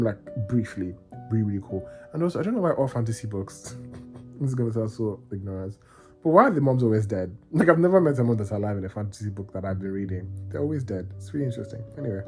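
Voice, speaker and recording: Excitable man gushing about books. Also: fairly low pitch, quick pace, soft background music. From Nigeria. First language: Yoruba. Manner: excitable, gushing, rambling with restarts. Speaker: book vlogger. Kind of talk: casual reading vlog chat.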